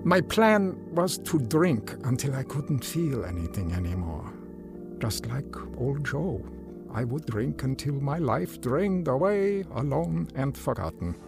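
A despairing down-and-out drifter in a radio drama. His voice is gravelly and middling in pitch, downcast and hesitant, with music playing prominently behind it. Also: big pitch swings, unhurried. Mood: bleak, regretful. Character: despairing down-and-out man telling his life story. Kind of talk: first-person radio drama narration and acting.

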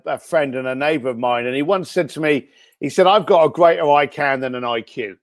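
Blunt high-voiced male guest. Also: no echo, clean studio sound. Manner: blunt, punchy advice. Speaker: podcast guest. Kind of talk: interview chat.

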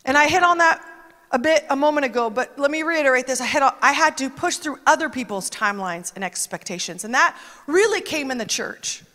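A woman preaching with a very high, bright voice in a chatty, emphatic way, often quoting others as she goes. Her words ring out with a long reverb, as if from a large church hall. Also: expressive, a little fast. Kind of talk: conversational sermon.

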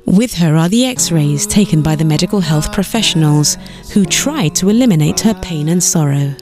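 Woman, scripted narration, low-pitched, formal measured tone, loud music underneath. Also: expressive. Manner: measured, formal announcer delivery. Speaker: program narrator.

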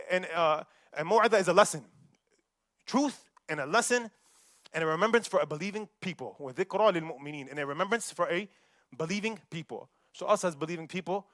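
Rapid-fire high-voiced preacher. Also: male voice, no echo, studio quality. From United States. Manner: rapid, animated preaching mixing recited verses with street-style asides. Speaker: imam giving a Friday sermon. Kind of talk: Friday sermon. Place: mosque.

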